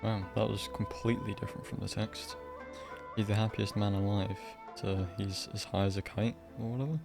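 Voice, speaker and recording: A male livestreamer thinks out loud while playing a game. His voice is fairly low with a crackly fry, casual in delivery, and game music plays behind him. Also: steady tone, a little fast. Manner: musing aloud to himself. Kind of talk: casual live gameplay commentary.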